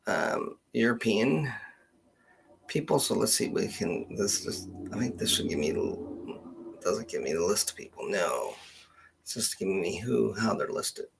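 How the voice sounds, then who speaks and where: A man from Canada hosting a casual live session, thinking aloud in a halting, muttering way as he searches on screen. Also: lowish voice, vocal fry, husky. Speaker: genealogist host of a live question session.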